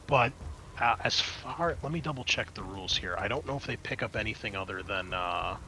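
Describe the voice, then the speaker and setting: A man's voice: fairly low pitch, animated, a little fast, bright, forceful tone, low-quality recording. A man acting as game master, narrating a tabletop roleplaying game in a casual, explanatory, conversational way. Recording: clear background noise.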